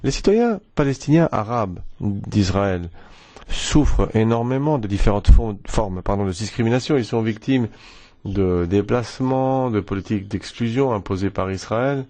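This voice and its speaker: A man giving a formal prepared statement at a press conference, measured with occasional hesitations. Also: fairly low pitch, lively intonation, mellow. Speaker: member of parliament, delegation speaker. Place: press room.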